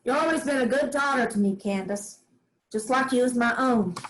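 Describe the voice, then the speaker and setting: A woman playing a firm, loving old farm grandmother in a staged play reading, giving brisk, kindly orders in plain rural dialect. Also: a little high, lightly acted, rough recording. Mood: tender, resigned.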